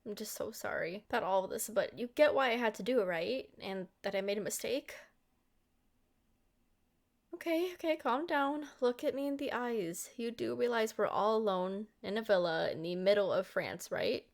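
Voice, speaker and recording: A woman's voice: medium pitch, normal speed, vocal fry, natural voice. A woman voicing a centuries-old, doting and possessive vampire girlfriend in an intimate, coaxing ASMR roleplay. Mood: urgent, apologetic. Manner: coaxing, affectionate, speaking directly to a lover.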